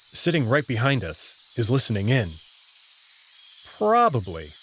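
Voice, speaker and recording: A man's voice: mid-range voice, fairly bright tone, slight background noise. A man narrating a scripted first-person story as its main character. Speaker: narrator and reader of the player character.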